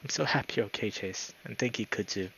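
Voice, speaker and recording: Man as a domineering low-voiced wolf. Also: quick pace, gravelly, pushed and bright, a little hiss or hum, low-quality recording. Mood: relieved. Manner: threatening, profane, commanding. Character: violent, domineering, protective big male wolf.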